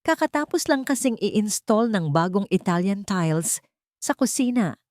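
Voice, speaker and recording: A woman's voice: medium pitch, animated, moderate pace, darkish tone, dry recording, studio quality, voice pushed up, lightly acted. A woman voicing a vain, newly rich middle-aged woman who boasts and mocks her guests in a storybook reading. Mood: showing off. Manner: boastful, mocking.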